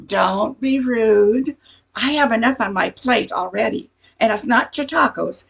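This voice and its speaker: A woman's voice: on the high side, a little slow, creaky, husky, exaggerated voice. A woman playing a brash, touchy diner waitress in a radio comedy play, tough-talking with slangy grammar. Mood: brash, indignant.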